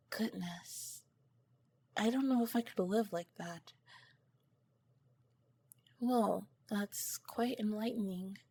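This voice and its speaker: An earnest female alien scientist, played by a woman, speaks softly and slowly in an ASMR roleplay. Her breathy voice carries formal, clinical phrasing, broken by shy, flustered hesitation. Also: medium pitch, exaggerated voice. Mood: curious, gentle. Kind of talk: ASMR roleplay, soft-spoken to the listener.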